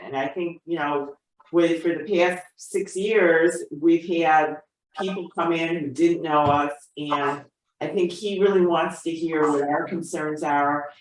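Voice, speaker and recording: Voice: medium pitch, a bit bright. A congregation member giving an informal spoken introduction of a guest on a video call. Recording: very echoey, distant video-call microphone.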